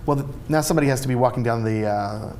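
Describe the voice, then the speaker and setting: A man's voice: mid-range voice, rapid-fire, a little mellow, a little hiss or hum. A man with an American accent explaining things casually as an interview guest. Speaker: theatre company co-founder, interview guest.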